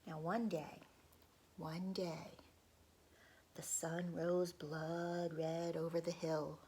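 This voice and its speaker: A woman reading a folk tale aloud to children, pausing to ask them questions and dropping into whispers. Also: lowish voice, level tone, mellow, a little hiss or hum. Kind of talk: children's read-aloud storytelling.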